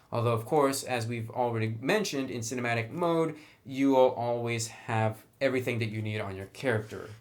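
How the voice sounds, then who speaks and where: A man with an average-pitched voice gives a casual review, explaining things point by point. He keeps it conversational and slips in dry, wry little asides as he goes. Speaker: tabletop game book reviewer.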